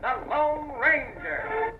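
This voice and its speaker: An actor playing a heroic American western serial announcer in old radio style, proclaiming dramatically with drawn-out words. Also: male voice, very high-pitched, very animated, full character voice. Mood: rousing. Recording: very noisy, muffled old radio broadcast.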